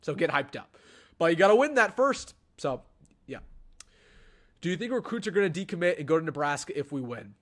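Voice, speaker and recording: A man's voice: on the high side, fairly quick, long pauses. A young man, hosting a casual live-stream sports show and chatting through viewer questions. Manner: chatty, animated, answers chat questions. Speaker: sports channel host.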